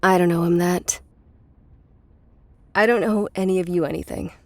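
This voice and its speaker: An actress playing a weary, guarded middle-aged woman with a bitter past in a naturalistic, measured audio drama performance. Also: fairly low pitch, steady tone, no echo, close to an everyday voice. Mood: guarded, cold.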